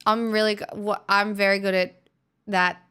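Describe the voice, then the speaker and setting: A woman's voice: mid-range voice, level tone, moderate pace, pushed and bright, clear, resonant tone. A woman hosting a livestream, chatting casually with viewers in a playful, teasing way. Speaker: livestream host.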